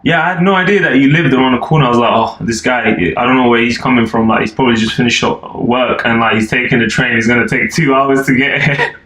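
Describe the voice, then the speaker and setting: A man's voice: mid-range voice, fairly even intonation, fast talker, a bit bright. A man in his twenties from Albania, a podcast guest chatting casually and loosely, full of fillers. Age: 20s. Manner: laid-back, rambling, lots of fillers and "like". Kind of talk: casual chat. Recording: echoey room, faint noise.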